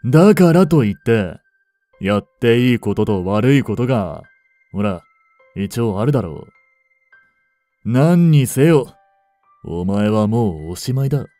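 A man playing a suave, greedy young butler in a romance audio drama, polite and softly menacing in an unhurried way. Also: mid-range voice, very animated, no room sound, faint music behind. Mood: stern. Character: suave, greedy young male butler turned possessive betrayer.

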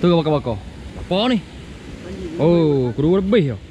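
A man's voice: on the high side, big pitch swings, moderate pace, warm tone. A man with a Malaysian accent in a casual outdoor vlog, bantering and calling out directions to his friends. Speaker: vlogger filming a camping trip with friends. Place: outdoors in a forest by a stream. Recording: noisy outdoor action-camera sound, vehicle noise.

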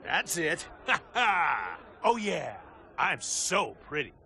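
An actor with an American accent playing a cocky, flippant action movie star in a video game, wisecracking and smug. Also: male voice, a little high, big pitch swings, slow pace, creaky, pushed and bright, slight background noise, full character voice. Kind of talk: video game cutscene acting. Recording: music behind the voice.

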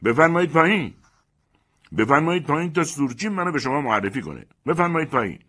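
Man acting a proud touchy gentleman, haughty declaiming, husky voice, lively pitch. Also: heavily acted. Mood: furious. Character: proud, touchy middle-aged man, indignant engineer.

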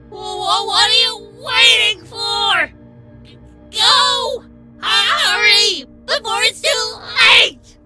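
Possessed stallion for audio story played by a woman: desperate, defiant, very high and piercingly bright. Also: very slow, faint music behind, full character voice. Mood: frantic. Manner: desperate, strained yelling. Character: frightened, defiant stallion trapped inside a monster's body. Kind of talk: dramatic audio story acting.

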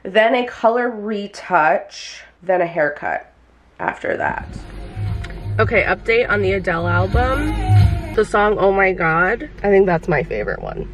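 A young hairstylist talks to her handheld camera in a loose, rambling vlog style. Her voice sits at a middle pitch, and she fills her sentences with "like." It sounds close and casual, recorded at arm's length in small rooms. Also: very animated, a little slow, soft background music. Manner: chatty, rambling, frequent "like" fillers. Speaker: hairstylist and vlogger. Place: small home rooms, then parked car.

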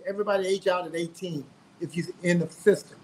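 High-voiced man on a video call. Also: fairly even intonation, fast, a little mellow, slight background noise, poor audio. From South Carolina, US. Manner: earnest, repeats words while finding his thoughts. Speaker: guest, singer. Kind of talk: casual interview. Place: home room indoors.

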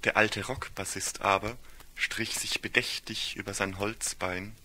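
A man's voice: lowish voice, steady tone, unhurried, pushed and bright. A man giving a scripted literary narration for a radio play in a solemn, ornate storytelling manner.

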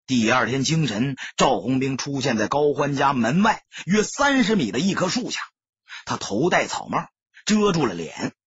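A man's voice: mid-range voice, very animated, normal speed, fairly bright tone, breathy edge, dry recording, muffled recording. A man narrating an audiobook as scripted dramatic storytelling, solemn and emotive.

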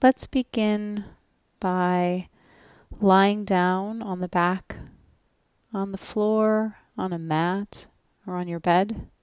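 A woman leading a guided relaxation as a yoga nidra instructor, speaking gently and soothingly with long pauses. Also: mid-range voice, fairly even intonation, clear, resonant tone, dry recording, studio quality.

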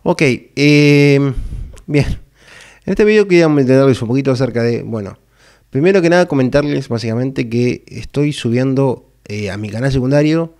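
Man, middle-pitched voice, halting delivery, casual unscripted video talk, repeated tag questions, close microphone. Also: a little mellow. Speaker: video creator. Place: small bare indoor room. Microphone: microphone on a boom arm, close.

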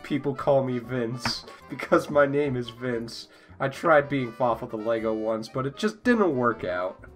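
A man's voice: medium pitch, expressive, measured pace, soft background music. A young man chatting casually as a podcast guest, joking and giggly as he draws. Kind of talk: casual chat while drawing.

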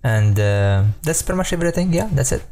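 Mellow-voiced man explaining software casually. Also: medium pitch, very animated, brisk, soft background music. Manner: loose and informal, many fillers and self-corrections. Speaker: software tutorial presenter. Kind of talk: casual screen-recorded tutorial.

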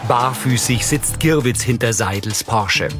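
A man reading scripted audio description for a TV crime drama, matter-of-fact and clearly articulated. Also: medium pitch, unhurried. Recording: TV soundtrack playing underneath. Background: loud music and vehicle sounds.

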